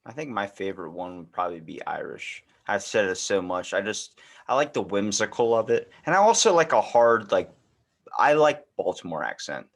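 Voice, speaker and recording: A man's voice: on the low side, big pitch swings, normal speed, gravelly. An American man hosting a podcast in casual chat, rambling and acting out little quoted remarks as he goes. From United States. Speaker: podcast host.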